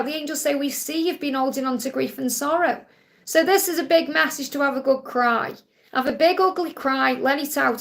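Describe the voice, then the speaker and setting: A middle-aged woman hosting a live psychic Q&A, chatty and reassuring, whose voice is getting croaky. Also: high-pitched, fairly bright tone. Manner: chatty, reassuring, peppered with "okay?" and "you know". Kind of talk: live-stream spiritual reading.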